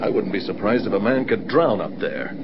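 A man's voice: on the low side, expressive, a little fast. A man playing an earnest control tower officer in a radio drama. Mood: earnest, awed. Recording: dull, noisy vintage radio recording.

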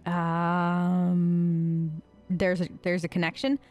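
A woman's voice: lowish voice, completely flat delivery, drawn-out words, darkish tone, dull audio, soft background music. A woman hosting a gaming video, improvising casual role-play in a chatty, rambling, playful and informal way. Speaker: gaming video host, role-playing her character.

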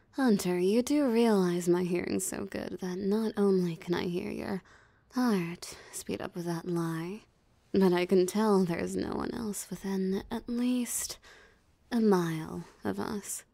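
A woman's voice: medium pitch, takes it slowly, vocal fry, dark tone, no room sound. A woman voicing a possessive, mocking female vampire in an ASMR roleplay, with a teasing, theatrically smug drawl. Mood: mocking, amused. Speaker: voice actor. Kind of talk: ASMR roleplay audio drama.

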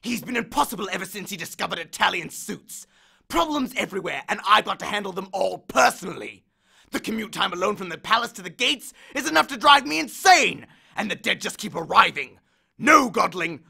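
A man's voice: sits high, expressive, normal speed, creaky, sharp tone, slightly rough, voice pushed up, full character voice. A man voicing an angry, aggrieved male god of the dead who bellows complaints and threats in an audiobook. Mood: aggrieved ranting. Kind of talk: audiobook character voice.

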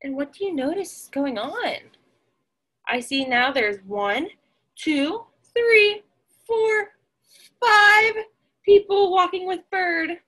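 A woman's voice: very high voice, takes it slowly, long pauses, pushed and bright. A woman in her twenties reading a picture book aloud to young children, expressively and with questions for them.

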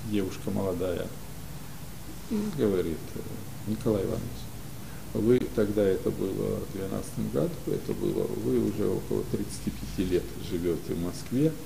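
An older man from Ukraine, telling stories as a guest in an interview, unhurried and full of pauses, recorded in a library studio. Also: lowish voice, creaky, noisy background. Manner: unhurried, many pauses and hesitations. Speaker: guest, community leader. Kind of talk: relaxed storytelling in an interview.

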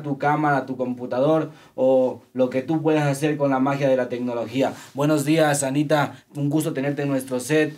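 Male podcast host, thirties, mid-pitched voice, quick jokey interjections. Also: darkish tone. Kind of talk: hosting an interview. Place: small podcast studio, indoors. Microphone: studio condenser mic on stand.

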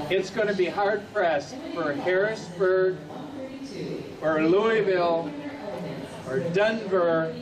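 A middle-aged man making a public speech outdoors at a ribbon cutting. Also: a little high. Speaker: ceremony speaker. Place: outdoors at a podium before a crowd. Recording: distant outdoor mic, clear crowd noise.

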